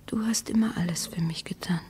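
An actress playing a dreamy, estranged wife in a radio play, with a breathy, often whispering voice and dramatic delivery. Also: female voice, fairly low pitch, slight background noise, close to an everyday voice. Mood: hushed, dreamy. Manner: slow, hushed, dramatic delivery. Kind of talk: German radio play acting.

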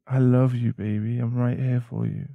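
A man's voice: medium pitch, very animated, moderate pace, gravelly, no echo, narrow, tinny audio. A man playing a protective, tender werewolf boyfriend in an ASMR roleplay, speaking in a soothing, reassuring manner. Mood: soothing, worried.

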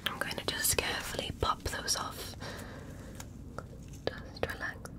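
Whispering alien doctor played by a woman, gentle and careful. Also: unhurried, lightly acted. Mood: soothing, attentive. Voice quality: breathy, unvoiced whisper. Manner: gentle, careful, reassuring, formal phrasing. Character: polite, curious female alien doctor examining a human patient. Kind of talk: ASMR medical roleplay.

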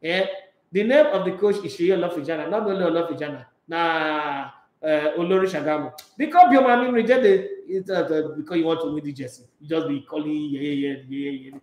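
A middle-aged Nigerian man hosting a sports radio talk show, animated and emphatic, mixing pidgin into his English. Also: a little high, a little fast. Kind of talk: live sports talk show, reading viewer comments. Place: small radio studio. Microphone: studio microphone with headphones. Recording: echoey studio room.

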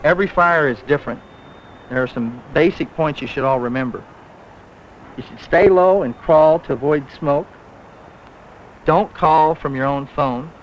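A man in his forties giving a prepared safety briefing as a firefighter, deliberate and instructive. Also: fairly high pitch. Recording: noisy old film soundtrack.

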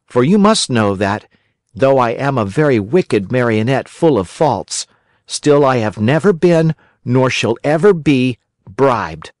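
A man reading aloud gives us a mischievous wooden marionette in a storybook, raised high and boyish. His voice leaps up and down with eager pride. Dry, close sound with no room around it. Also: animated, measured pace, no echo, natural voice. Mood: indignant. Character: mischievous wooden boy marionette, proud and plucky.

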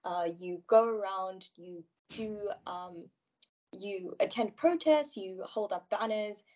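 A woman's voice: mid-range voice, slow, darkish tone. Polite South African woman moderating. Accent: South African English. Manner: polite, formal question-asking. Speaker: panel facilitator, chairperson. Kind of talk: moderating a webinar panel. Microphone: video call. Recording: dull lo-fi video call audio.

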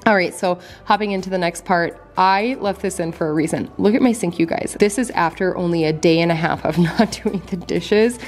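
A woman's voice: on the low side, brisk, few pauses. A young adult woman chatting casually to viewers in a voice-over, enthusiastic and friendly. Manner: chatty, enthusiastic, addresses viewers as you guys. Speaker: home vlogger. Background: soft background music.

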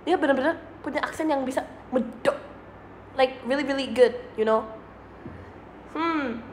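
A young woman on a casual livestream, her voice high and unhurried. She is playful and giggly and drops English phrases into her chat. The small room leaves a roomy echo and a faint hiss behind her. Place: small indoor room, seated at a desk. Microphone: phone or webcam in front of her.

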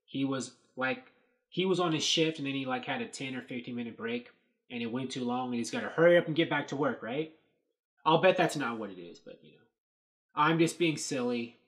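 A man's voice: medium pitch, brisk, a bit bright. Rambling man musing at his webcam. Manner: rambling, thinking aloud with many fillers. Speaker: host. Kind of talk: casual commentary on set photos. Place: small dark room indoors.

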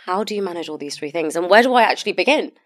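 Fast-talking woman podcast host, studio mic. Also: lowish voice, expressive. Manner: chatty, candid, self-deprecating, fast-talking. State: sore throat. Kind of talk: casual interview chat. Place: podcast studio with purple lighting, indoors. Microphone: studio mic on stand.

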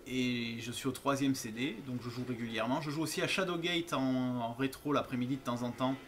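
A man of ordinary pitch chats playfully over his gameplay, cracking jokes as he goes. Faint game ambience hums under his voice. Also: steady tone, a little fast, fairly bright tone, a little hiss or hum. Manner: playful, jokey, laughs often. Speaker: streamer playing a horror game. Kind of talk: casual gameplay commentary.

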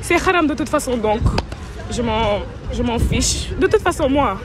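A woman's voice: on the high side, animated, moderate pace. A young woman with a Senegalese accent answering street-interview questions boldly and cheekily. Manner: bold, cheeky, mixing French and Wolof. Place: city street outdoors. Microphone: interviewer's phone. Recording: noisy street with crowd.

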